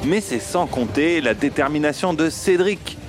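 A man's voice: on the high side, expressive, moderate pace. Sardonic man hosting over faint music. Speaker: radio show host. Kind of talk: wry commentary and mock reality-show narration.

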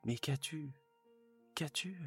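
A man's voice: medium pitch, unhurried, faint music behind, voice pushed up, lightly acted. A man voicing an anxious, eager husband who is a modest clerk, talking in a raised, pleading way.

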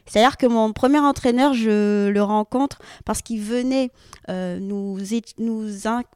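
Smooth, high-voiced young woman from French Guiana. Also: unhurried, darkish tone, no room sound, clean studio sound. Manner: hesitant with many fillers, laughs often. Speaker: guest athlete. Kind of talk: casual interview answers.

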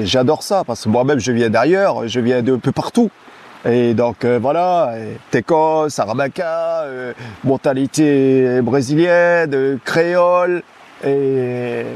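A man from French Guiana talking casually as an interview guest, relaxed and hesitant, with frequent restarts and fillers. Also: medium pitch.

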